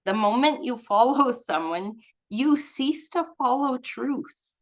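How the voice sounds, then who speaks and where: A woman's voice: a little high, expressive, slow. A woman leading a study group, reading aloud and adding informal, musing, effusive personal commentary in a casual way. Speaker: reader and study group leader.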